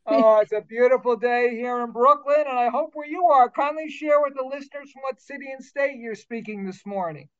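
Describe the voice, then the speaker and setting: A man's voice: very high-pitched, a little fast, hardly any pauses, smooth, highs cut off. A man hosting a talk show, speaking in a formal, upbeat, carefully enunciated way. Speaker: radio show host. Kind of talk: scripted show introduction and interview.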